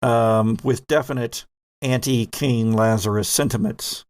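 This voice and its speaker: A man hosting a tabletop game as game master, narrating and questioning players with deliberate, halting phrasing. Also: medium pitch, steady tone, darkish tone, smooth, no echo. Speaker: game master and podcast host.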